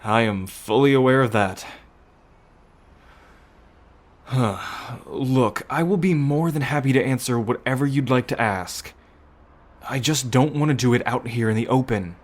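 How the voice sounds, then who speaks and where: A man's voice: medium pitch, normal speed, lightly acted. A man playing a young, apologetic brother estranged from the mob, pleading and hesitant, in an audio roleplay. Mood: nervous, placating.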